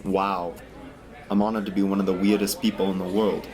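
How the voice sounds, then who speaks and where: A man's voice: low voice, flat delivery, fairly quick, natural voice. A man playing a naive, eager young Christmas lover in an amateur radio drama, earnest and wide-eyed. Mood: eager, amazed.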